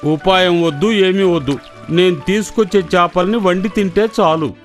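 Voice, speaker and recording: A woman voicing a gullible, gruff crocodile in a children's cartoon fable. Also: on the low side, normal speed, faint music behind, lightly acted. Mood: grumpy. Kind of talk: children's cartoon story acting.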